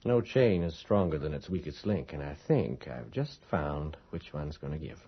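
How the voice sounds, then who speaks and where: An actor playing an earnest, persistent investigator in a radio horror drama, educated and polite, with hesitant, articulate questioning. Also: male voice, very deep voice, very animated, moderate pace, creaky, husky, exaggerated voice. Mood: determined. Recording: dull lo-fi radio recording.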